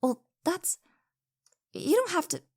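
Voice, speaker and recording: A woman's voice: very high voice, wildly swooping pitch, takes it slowly, a little mellow, no echo, studio quality, heavily acted. A woman acting a caring, flustered young woman with a crush in an intimate roleplay audio drama, speaking hesitantly and earnestly with stammers.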